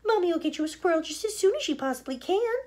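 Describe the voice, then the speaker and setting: A woman's voice: very high-pitched, expressive, fast, mellow, voice pushed up. A woman voicing an indulgent mother who soothes and placates her daughter. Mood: soothing. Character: doting indulgent mother of a spoiled girl.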